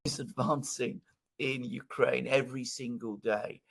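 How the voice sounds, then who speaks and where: A man speaking in conversational political commentary, emphatic and halting, repeating words for stress. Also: medium pitch, fairly even intonation, creaky, a crowd in the background.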